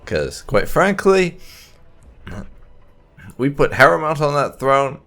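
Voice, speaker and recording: A man hosting a video game playthrough, explaining things in halting, unscripted commentary as he thinks aloud. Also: a little high, sing-song, a bit bright. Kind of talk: unscripted let's-play commentary.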